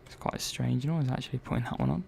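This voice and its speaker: A man playing a curious, gently chatty robot repair technician in a soft-spoken ASMR roleplay, murmuring and thinking aloud in an unhurried, hesitant way. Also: medium pitch, gravelly. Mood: gently absorbed. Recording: faint background noise.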